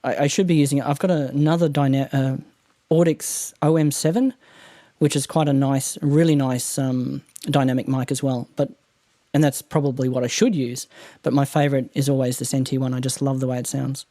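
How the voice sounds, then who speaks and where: A man's voice: mid-range voice, flat delivery, a little fast, dark tone, no echo, studio quality. A man with an Australian accent and a nasal voice, chatting casually as a guest about podcast gear. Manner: chatty, rambling, laughs often. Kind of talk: casual technical chat. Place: home room treated with mattresses. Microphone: condenser studio mic.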